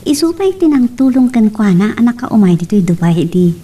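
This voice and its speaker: Woman acting a soft, mellow heartbroken Filipina. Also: a little high, big pitch swings, fairly quick, slight background noise. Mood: sorrowful, tender. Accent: Ilocano. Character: heartbroken, sincere young woman in love story. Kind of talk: amateur Ilocano audio drama acting.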